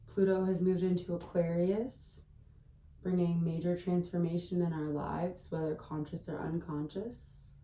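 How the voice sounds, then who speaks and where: Slow, very mellow woman leading meditation. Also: lowish voice. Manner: gentle, hushed, unhurried delivery with long pauses. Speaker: guided meditation host. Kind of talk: slow, soothing guided meditation. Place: indoors at a family home. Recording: echoey room, distant from the mic.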